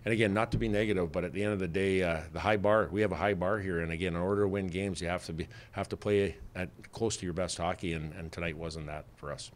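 Low-voiced middle-aged coach at a mic. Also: male voice, steady tone, quick pace, few pauses. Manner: plainspoken, many fillers and restarts. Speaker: head hockey coach. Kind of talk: postgame press conference answers. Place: press room with sponsor backdrop. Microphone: desk mic on stand.

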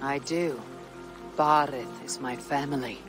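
A woman's voice: rumbling low, steady tone, a little slow, music in the background. An actress playing a stoic, blunt Viking warrior woman in a game cutscene, speaking in a terse, dry, matter-of-fact way.

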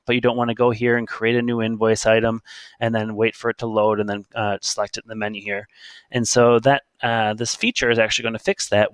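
A man talks through a software tutorial in a casual, conversational way. His voice sits at a medium pitch and is recorded close and dry, with hardly any room sound. He explains each step as he goes. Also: no echo. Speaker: software presenter. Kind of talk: casual screen-share tutorial narration.

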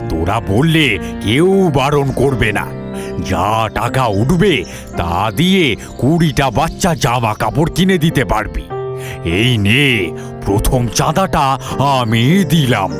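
Kindly old grandfather, halting speech. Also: mid-range voice, very animated, over music. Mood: warm, encouraging. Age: older. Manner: halting delivery, repeats words. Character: kindly old neighbourhood grandfather, protective, advising children. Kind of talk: animated moral story acting.

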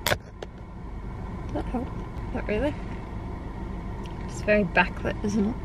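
A woman's voice: medium pitch, expressive, takes it slowly, very noisy. A young Australian woman in her twenties, a personal trainer chatting casually to a camera on the dashboard of her parked car, rambling and amused at herself. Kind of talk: casual vlog chat to camera.

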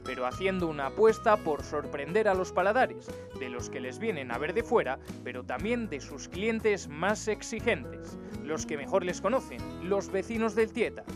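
Low-voiced Castilian Spanish woman narrating over guitar. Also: expressive, normal speed, hardly any pauses. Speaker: TV report narrator. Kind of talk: scripted promotional narration. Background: guitar music.

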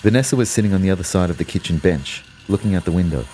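An actor playing a reflective, concerned young man, telling his story in a measured, understated way in an audio drama. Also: low-pitched, mellow, slight background noise, close to an everyday voice. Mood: sombre, reflective. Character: reflective, concerned young boyfriend, a fitness fanatic. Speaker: narrator and lead character. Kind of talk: first-person audio drama narration and dialogue.

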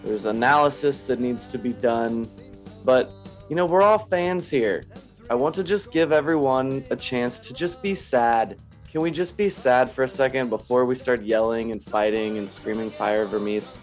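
A man hosting a casual fan podcast, his voice slightly raspy after a day of yelling, talking in an animated, rambling, self-mocking way. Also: mid-range voice, normal speed, faint music behind. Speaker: podcast host.

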